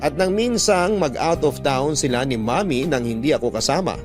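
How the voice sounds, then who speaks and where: A man reading a first-person letter as a radio storyteller, with sentimental, measured phrasing. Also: on the high side, expressive. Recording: music under the voice.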